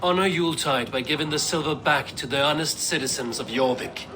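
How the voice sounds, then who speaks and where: An actor playing a steadfast Viking warrior, calm and solemn, in a dramatic game cutscene. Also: male voice, a little high, fairly quick, noisy background, close to an everyday voice. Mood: resolute. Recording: orchestral music behind.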